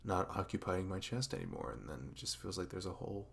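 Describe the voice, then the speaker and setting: A man playing a dorky, smitten young best friend in an intimate ASMR roleplay, speaking in a halting, awkward, rambling way. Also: sits low, big pitch swings, gravelly, fairly bright tone, heavily acted. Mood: tender, vulnerable.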